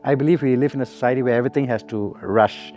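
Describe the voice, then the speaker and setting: A man's voice: medium pitch, fast, mellow, faint music behind. Laid-back man reflecting in an interview. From Singapore. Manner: easygoing, reflective, laughs often. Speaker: musician guest. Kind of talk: relaxed interview answers.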